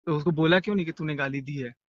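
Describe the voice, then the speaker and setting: A man chatting casually on a recorded private phone call in a brisk, offhand way. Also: fairly high pitch, a bit bright, no echo.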